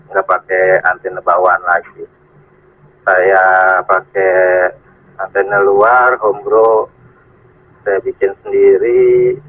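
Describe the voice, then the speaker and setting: Husky low man over two-way radio. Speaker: amateur radio operator. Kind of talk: casual two-way radio exchange. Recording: narrow, tinny radio transmission.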